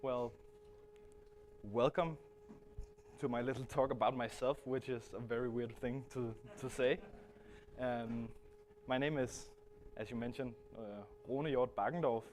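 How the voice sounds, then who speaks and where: A man's voice: mid-range voice, fairly even intonation, a little slow, a little mellow, a little hiss or hum. A man from Denmark in his thirties with a Danish accent, giving a presentation at a conference with many hesitant restarts. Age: 30s. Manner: hesitant, frequent restarts and fillers. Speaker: guest speaker.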